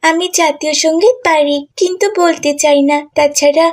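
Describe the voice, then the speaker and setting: A woman's voice: high-pitched, fairly even intonation, normal speed, lightly acted. A woman voicing an earnest, polite, devout cartoon schoolgirl who explains herself respectfully.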